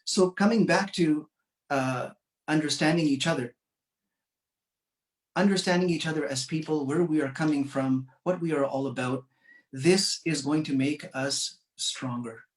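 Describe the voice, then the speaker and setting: A man from Canada giving an earnest, measured commemorative speech, pausing often with fillers. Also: a little high, roomy sound, recorded from a distance, low-quality recording. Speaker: community speaker.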